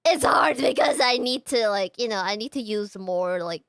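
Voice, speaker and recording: A young woman chatting casually on a live stream, bubbly and halting, with lots of fillers and restarts. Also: a little high, lively intonation, vocal fry, a bit bright, clear, resonant tone, no room sound, studio quality.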